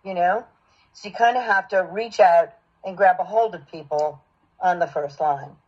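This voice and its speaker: A woman voice acting coach with a husky voice, giving encouraging, explanatory direction and feedback. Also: lowish voice, normal speed. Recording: dull, lo-fi sound.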